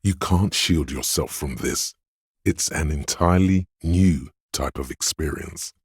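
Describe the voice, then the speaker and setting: A man voicing a grim, wry inner voice of pain in a video game, narrating to the listener in a husky, deliberate, ominous way. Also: rumbling low, darkish tone, no room sound, studio quality, exaggerated voice. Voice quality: gravelly, husky. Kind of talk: scripted second-person game narration.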